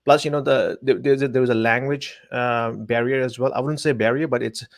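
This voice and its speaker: A man's voice: medium pitch, fairly even intonation, brisk, no room sound. A man in his forties from Pakistan, a telecom executive, giving thoughtful interview answers with many hesitations.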